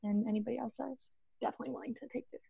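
An American woman in her early twenties from Texas, answering casually and haltingly on a video call. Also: fairly high pitch, fairly even intonation, creaky, dark tone, slightly rough, dry recording. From Texas, USA. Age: early 20s. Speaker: college volleyball player, interview guest. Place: indoor room on a video call.

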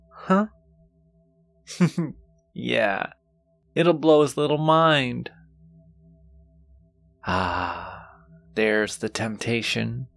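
A man's voice: mid-range voice, creaky. A man playing a teasing, flirtatious, gleefully wicked demon suitor in an intimate audio roleplay, drawling seductively to the listener. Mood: flirtatious, playful. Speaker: voice actor.

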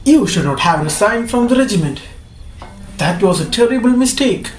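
A man's voice: high voice, lively intonation, normal speed, a little hiss or hum. A man acting a hearty middle-aged ironmaster in a student radio drama, earnest and coaxing. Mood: urging, concerned. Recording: echoey room.

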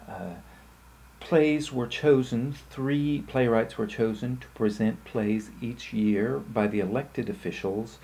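A man giving an explanatory slide lecture in short, measured phrases with pauses. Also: medium pitch, dark tone, breathy edge, low-quality recording.

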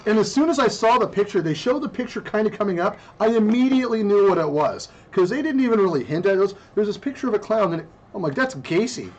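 A man with a slight lisp hosting a casual podcast, animated, swearing freely and laughing often. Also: high-pitched, quick pace, hardly any pauses. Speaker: podcast host. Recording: dull lo-fi sound with faint ambience.

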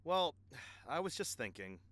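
Man as a bright, cocky henchman. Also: on the high side, sing-song, a little slow. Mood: cocky, probing. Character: cocky male mercenary henchman, restless and needling. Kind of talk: audio roleplay drama acting.

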